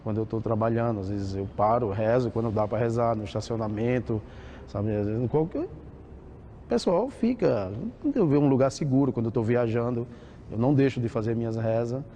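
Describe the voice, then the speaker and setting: A man giving a halting, reflective testimony as an interviewee. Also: mid-range voice, mellow, a little hiss or hum. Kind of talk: recorded testimony.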